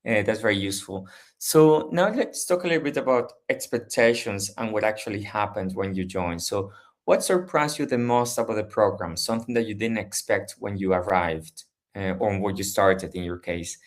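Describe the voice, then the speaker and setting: Low-voiced male panel moderator. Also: moderate pace. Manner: stumbling, repeats words while framing questions. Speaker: interviewer and moderator. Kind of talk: hosting a student panel.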